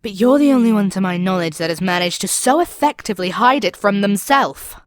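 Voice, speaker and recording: A woman's voice: medium pitch, no room sound, studio quality, close to an everyday voice. A woman voicing an arrogant, seductive demon queen in an ASMR audio roleplay, speaking in an imperious, coaxing way that can drop toward a whisper. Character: arrogant, seductive demon queen probing a captive hero's mind.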